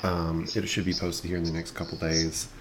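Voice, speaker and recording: A man hosting a podcast in casual chat, playful and animated, with a slight rasp in his voice. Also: deep voice, moderate pace, background noise. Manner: playful, animated, laughs often. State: slightly raspy from lost voice. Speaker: podcast host.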